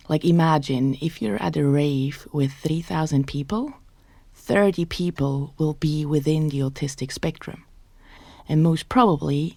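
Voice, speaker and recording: A woman in her thirties with a Swiss German accent, hosting a personal podcast in a soft, reflective way and sometimes dropping to a whisper. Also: low voice, no room sound. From Switzerland. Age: 30s. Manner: soft-spoken, thoughtful, sometimes drops to a whisper. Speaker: podcast host.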